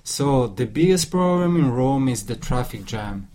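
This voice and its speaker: A man with an Italian accent in an informal discussion, speaking haltingly and repeating words as he searches for phrasing. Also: medium pitch, lively intonation, crowd noise. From Italy. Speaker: discussion participant. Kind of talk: informal discussion in a second language. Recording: clear background noise.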